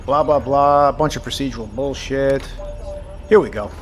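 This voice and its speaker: An actor playing a gruff, sarcastic, exasperated submarine captain in an audio drama, with a profane, sardonic way of talking. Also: male voice, mid-range voice, moderate pace, lightly acted. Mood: weary sarcasm.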